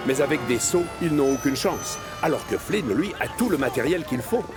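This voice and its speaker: A man's voice: medium pitch, animated, normal speed. A man narrating a scripted children's story as the storyteller of a cartoon. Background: music.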